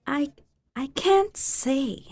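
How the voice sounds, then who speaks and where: Troubled, guarded teen girl, female actor, high-pitched and slow, hesitant delivery for dramatic scene. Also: expressive, a little mellow, dry recording, full character voice. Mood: frightened, anguished. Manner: hesitant, defensive. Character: troubled, guarded teenage girl, sullen and frightened. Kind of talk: staged dramatic reading.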